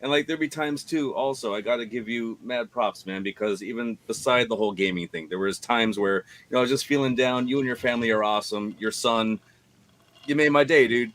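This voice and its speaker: Brief: man, deep voice, relaxed guest chat on a game stream. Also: a little fast. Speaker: voice actor guest. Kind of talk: casual chat.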